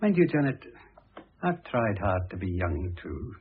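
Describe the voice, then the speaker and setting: An actor playing an aging, wealthy husband in a classic radio drama, proud, plaintive and earnest. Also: male voice, on the low side, very animated, a little slow, breathy edge, exaggerated voice. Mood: wistful, unhappy. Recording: muffled phone-like old radio recording.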